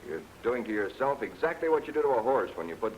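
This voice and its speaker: A man's voice: medium pitch, very animated, fast talker, muffled recording. An American man gives plain-spoken, explanatory 1940s instructional film narration as a flight instructor, addressing the learner directly. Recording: noisy 1940s film soundtrack, aircraft drone.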